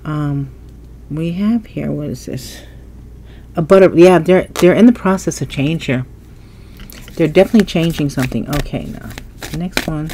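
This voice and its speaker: A woman reading tarot at a table, her voice a little stuffy and set low, with a dark, mellow tone. She muses aloud in a casual way as she lays out cards. A faint hum sits behind her. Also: normal speed, pauses often. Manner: musing, thinking aloud while turning cards. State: stuffy nose. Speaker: tarot reader. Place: indoors at a table, hands only in frame.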